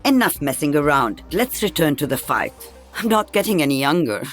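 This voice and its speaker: A woman's voice: low-pitched, very animated, normal speed, faint music behind. A woman playing an elderly veteran sniper in a video game, with a dry, wry and weary delivery. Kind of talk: video game character voice lines.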